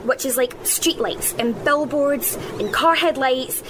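Radio drama role: chatty, bossy island schoolgirl vlogger, high voice, clear background noise. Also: a little slow. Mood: chatty explaining. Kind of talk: radio drama acting as a casual phone vlog.

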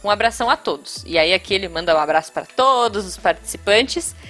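A woman's voice: mid-range voice, animated, fast, a bit bright. A woman hosting a podcast, reading listener emails aloud with frequent laughter. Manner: laughing often, chatty, reads emails aloud. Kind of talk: casual chat.

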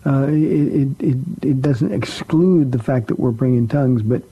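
A man hosting a radio call-in show as a pastor, answering questions in an emphatic but halting conversational teaching manner. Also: medium pitch, slightly rough, highs cut off.

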